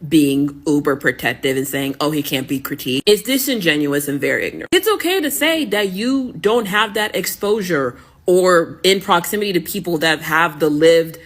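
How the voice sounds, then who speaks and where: A commentator from the United States giving measured, critical commentary in a reaction video. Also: mid-range voice, expressive, fairly bright tone. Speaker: online commentator.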